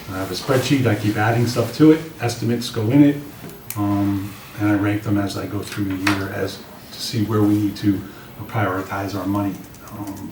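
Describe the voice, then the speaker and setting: A man with a slightly raspy voice giving an informal, plain-spoken briefing to a committee as a public works director. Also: fairly low pitch. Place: indoor meeting room. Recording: roomy, distant mics with clear background noise.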